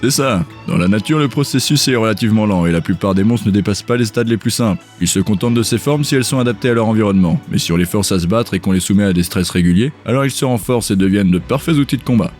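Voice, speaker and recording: A cynical male scout acted by a man in an audio drama. He speaks in a lowish voice at a quick clip, offhand and matter-of-fact, gruff in temper. Soft music plays under the lines. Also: animated, lightly acted. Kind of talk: audio drama character dialogue.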